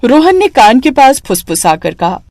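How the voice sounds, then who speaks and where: A woman's voice: high voice, big pitch swings, normal speed, a little mellow, no room sound, studio quality. A woman narrating a scripted cartoon story as its storyteller.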